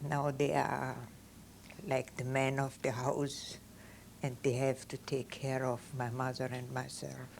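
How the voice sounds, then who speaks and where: A woman in her seventies from Austria with a Viennese German accent, German her first language, giving oral history testimony in slow, halting, simple phrases. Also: very deep voice, completely flat delivery, gravelly, a little mellow, a little hiss or hum. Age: 70s. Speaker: interviewee.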